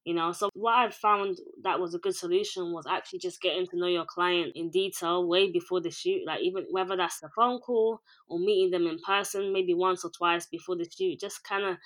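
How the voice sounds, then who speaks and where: A woman in her twenties from England, a director and producer, speaking in a calm, even, rather monotone way as an interview guest. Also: fairly low pitch, a little fast, few pauses, poor audio. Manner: calm, even, self-described monotone delivery. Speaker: music video director and producer. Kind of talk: interview guest, casual conversation.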